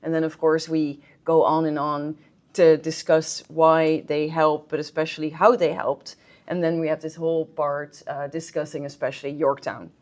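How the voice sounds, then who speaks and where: Woman, Dutch accent, low pitch, friendly and chatty, public lecture in a library. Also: level tone, moderate pace, darkish tone. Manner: friendly, chatty, with filler ums and restarts. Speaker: historian, guest speaker. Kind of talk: public history talk with slides. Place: public library meeting room.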